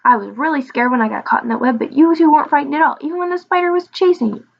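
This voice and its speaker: An excitable little storybook dragon, voiced by a woman, chattering away with friendly curiosity. The young male creature sounds high and slightly creaky, and the recording is a little dull. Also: racing. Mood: curious, impressed.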